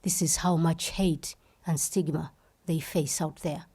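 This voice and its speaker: A woman's voice: low voice, fairly even intonation, a little slow, dark tone, slightly rough. A woman from Uganda, the founder of a festival, giving an impassioned speech and repeating phrases for emphasis.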